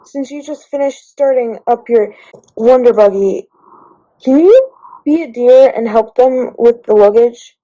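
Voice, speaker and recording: A woman plays a concerned female companion in a radio drama. Her voice is high and soft-toned, and she speaks slowly and politely. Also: highs cut off, natural voice. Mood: polite, coaxing. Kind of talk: amateur radio drama acting.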